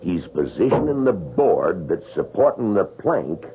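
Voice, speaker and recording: Callous soldier, very low, lively drawl, radio drama. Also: male voice, measured pace. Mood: callous relish. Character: gruff older soldier relishing a hanging, folksy and callous. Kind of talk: old-time radio drama acting. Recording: tinny, noisy old radio broadcast.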